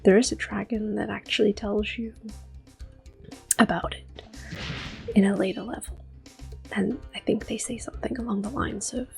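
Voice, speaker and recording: A woman in her thirties giving soft-spoken ASMR gameplay commentary, often slipping into a whisper, close to the mic. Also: mid-range voice, steady tone, moderate pace, creaky, pauses often, faint music behind. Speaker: ASMR gaming channel host.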